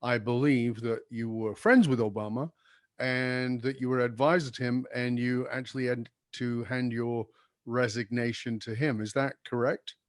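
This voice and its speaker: A man's voice: medium pitch, steady tone, moderate pace, dry recording, studio quality. A man hosting an interview, feeling his way through questions with many ums and self-corrections. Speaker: podcast host. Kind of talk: interview conversation.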